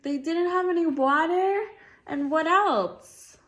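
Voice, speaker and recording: A woman's voice: extremely high pitch, takes it slowly, a bit bright. A woman reading a picture book aloud to a child, encouraging and often echoing answers back as questions. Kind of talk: dialogic storybook reading with questions.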